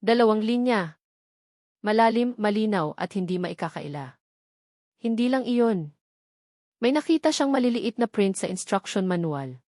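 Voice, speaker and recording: A woman's voice: mid-range voice, animated, a little slow, long pauses, a little mellow, dry recording, studio quality. A woman with a Filipino accent reads a scripted romance story as its narrator, in a dramatic storytelling manner.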